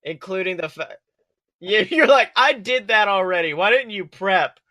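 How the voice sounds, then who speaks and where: A man with a very bright, fairly high voice co-hosts a casual podcast chat. He is excitable and full of exclamations, and swears freely. The sound is close and dry. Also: moderate pace, dry recording. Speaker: podcast co-host. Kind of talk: casual chat about an animated film.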